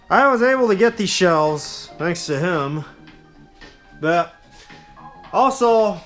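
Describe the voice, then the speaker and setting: A man's voice: sits high, fairly bright tone. A young man hosting a casual hobby show-and-tell, rambling informally as he addresses his viewers. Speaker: hobbyist video host.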